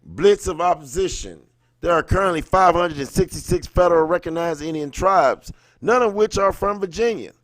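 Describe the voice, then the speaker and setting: A man's voice: high-pitched, lively intonation, moderate pace, fairly bright tone, dry recording. A man hosting a livestream in an informal, slangy way, moving between reading aloud and casual chat with viewers. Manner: informal, slangy, greets viewers by name mid-thought. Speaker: livestream host.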